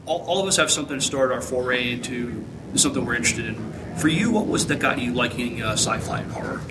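A man's voice: mid-range voice, fast talker, background noise. A man introducing a guest and asking questions as a podcast interviewer.

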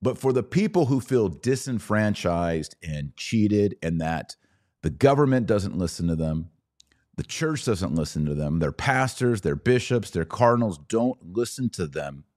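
A man hosting a podcast, giving live political and religious commentary in an emphatic, deliberate way, repeating phrases for stress. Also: on the low side, expressive, a little mellow.

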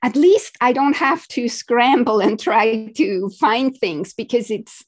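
Female guest from Switzerland; high-ish voice, halting, chatty delivery. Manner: halting, with restarts and small laughs. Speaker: podcast guest. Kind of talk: casual interview talk.

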